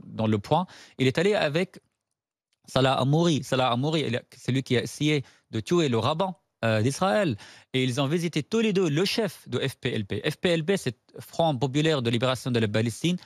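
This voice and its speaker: A man from Syria, an Arabic speaker, speaking as a guest author on a radio talk show in an animated, insistent way, often repeating words as he stumbles. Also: mid-range voice, no echo, clean studio sound. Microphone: studio microphone.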